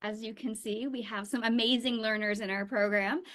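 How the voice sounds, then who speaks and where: A woman's voice: on the high side, steady tone, normal speed, a bit bright. A woman program director giving an earnest, enthusiastic webinar presentation, with fillers and repeated words. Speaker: adult education program director.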